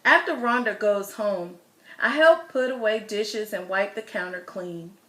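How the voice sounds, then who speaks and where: A woman reading a children's picture book aloud as a storyteller, with expressive, deliberate phrasing and stressed words. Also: mid-range voice, slight background noise, low-quality recording.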